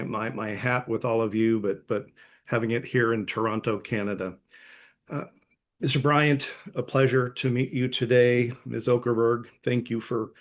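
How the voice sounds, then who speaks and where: Polite, halting man in a formal meeting. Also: mid-range voice. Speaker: gaming commissioner. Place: joining remotely.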